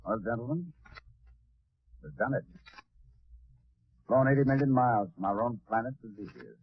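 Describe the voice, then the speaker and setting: An actor playing a calm, decisive spaceship commander in 1940s radio drama style, giving clipped orders with authority. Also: male voice, medium pitch, normal speed, slightly rough, no echo. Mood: measured, formal. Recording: tinny, noisy old radio broadcast.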